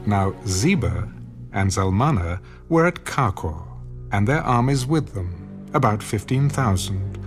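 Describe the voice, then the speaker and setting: A man giving solemn, measured narration of scripture. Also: on the low side. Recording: loud background music.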